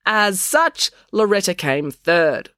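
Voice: mid-range voice, very animated, takes it slowly, sharp tone, no echo, studio quality, pitched above the performer's own voice, heavily acted. A narrator voicing a pedantic, officious boy who declares things precisely in a storybook. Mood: pedantic, official.

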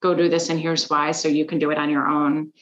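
A woman's voice: deep voice, level tone, fairly quick, lo-fi sound. A woman business founder answering as an interview guest in an articulate, earnest, explanatory way.

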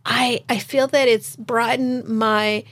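A woman's voice: on the high side, fairly even intonation, takes it slowly. A woman hosting a podcast in casual interview chat, affirming her guest often. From United States. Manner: warm affirming, frequent mm-hmms. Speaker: podcast host.

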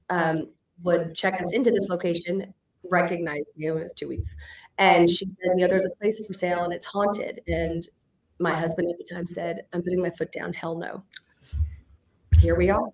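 A woman, chatting casually as a guest who owns a house, talking through a voice she is losing, joking often and filling pauses with ums. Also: on the low side, echoey room, low-quality recording. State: losing her voice. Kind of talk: casual livestream chat.

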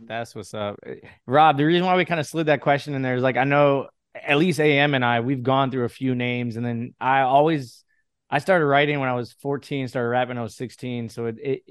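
A man with a smooth, clean voice and a mid pitch, heard dry and close. He talks in an animated, chatty way as he asks questions. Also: fairly quick, no room sound. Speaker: co-host. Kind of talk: casual podcast interview. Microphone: conference call line.

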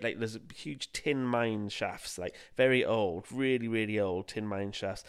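A man's voice: fairly low pitch, smooth. A young man co-hosting a podcast, chatting casually and rambling enthusiastically with lots of fillers. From Wales. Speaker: podcast co-host.

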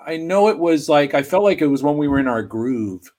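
A man recalling past work in a relaxed group chat, hesitant and rambling. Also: a little high, brisk. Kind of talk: casual group video chat.

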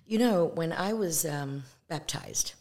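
A woman's voice: low voice, lively intonation, darkish tone. A woman from Malaysia, an evangelist guest, speaking earnestly and reflectively about her faith in a conversational way. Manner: earnest and reflective, with pauses and fillers. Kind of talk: conversational testimony.

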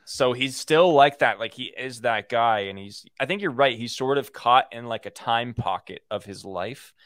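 A man's voice: medium pitch, normal speed, fairly bright tone, no room sound, studio quality. Young-sounding man rambling on a podcast. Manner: rambling, lots of "like" fillers, joking. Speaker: podcast co-host. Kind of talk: casual podcast chat.

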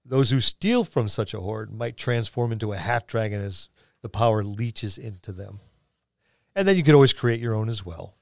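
A man's voice: on the low side, normal speed, gravelly, a little mellow, no room sound, studio quality. A man co-hosting a podcast, talking casually about tabletop gaming. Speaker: podcast co-host.

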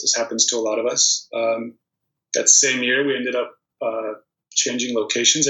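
Man telling his story on an echoey call. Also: medium pitch. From Poland. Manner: relaxed storytelling with many ums and restarts. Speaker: guest, volleyball player. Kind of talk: casual interview conversation. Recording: dull, echoey remote recording.

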